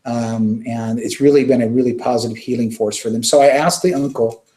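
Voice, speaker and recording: A man speaking as an interview guest, recounting experiences in an earnest, halting, informal conversational way. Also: mid-range voice, steady tone, mellow. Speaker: interview guest, children's music charity worker.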